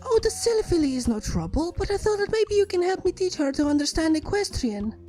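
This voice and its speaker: A woman's voice: very high-pitched, big pitch swings, a little fast, warm tone, voice pushed up. A woman voicing a silly, cheerful young female pony with a playful, breezy manner in a fan fiction story reading. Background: background music.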